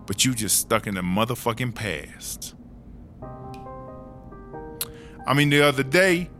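A man's voice: medium pitch, bright, forceful tone, lightly acted. A man playing a streetwise, long-serving woman prisoner who tells stories in slangy, sweary talk for a comedy audio drama. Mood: candid, chatty. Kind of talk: casual first-person storytelling in a comedy audio drama. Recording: music under the voice.

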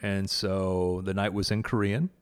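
Earnest low-voiced man. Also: flat delivery, unhurried, smooth. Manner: earnest, many fillers and restarts. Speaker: guest, prayer event organizer. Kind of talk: casual conversation about faith.

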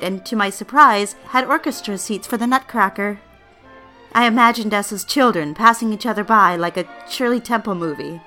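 An actress playing an anxious, romantic young bride-to-be in a period drama, speaking in a genteel, earnest, old-fashioned way. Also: female voice, medium pitch, pushed and bright, natural voice. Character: anxious young bride-to-be office woman, reflective romantic narrator. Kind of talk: dramatized first-person narration and dialogue, period radio drama.

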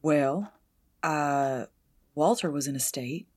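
A woman's voice: sits low, slow pace, background noise. A woman playing a deferential, guarded stunt driver in an audio drama, speaking politely with folksy, careful hesitations. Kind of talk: 1920s-set audio drama roleplay.

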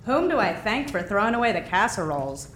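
A woman playing a deadpan, particular nonbinary boarder, dryly formal, in an audio drama. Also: medium pitch, a little fast. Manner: deadpan, precise, arch. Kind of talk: naturalistic audio drama dialogue. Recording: audio drama with faint background noise.

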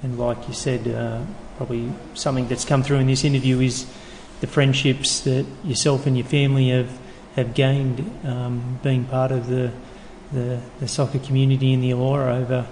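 A man interviewing a former coach, asking halting questions full of fillers. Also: medium pitch, little pitch movement, darkish tone. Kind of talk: oral history interview questions. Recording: long reverb, faint background noise.

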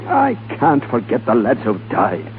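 An actor playing a brooding Irish rebel leader torn between love and oath, in earnest 1930s radio drama style. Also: male voice, mid-range voice, wildly swooping pitch, unhurried, a little hiss or hum. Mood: torn, anguished. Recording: tinny 1930s radio recording with music behind.

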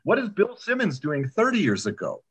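A man's voice: high voice, huge pitch swings, normal speed, slightly rough. A man chatting as a podcast guest, opinionated and emphatic, repeating himself and asking rhetorical questions. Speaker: podcast guest, audio drama producer. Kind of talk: casual, opinionated chat. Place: remote call on a computer.

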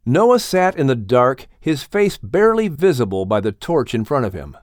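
A man narrating an audiobook in a measured, expressive storytelling manner. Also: medium pitch, no echo, studio quality. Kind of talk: scripted narration.

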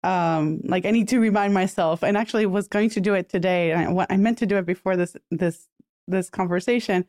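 A relaxed woman guest from Puerto Rico, a native Spanish speaker, with a mid-range voice. She answers thoughtfully, often pausing and laughing softly. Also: medium pitch, dry recording, clean studio sound. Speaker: podcast guest. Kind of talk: casual interview answers.

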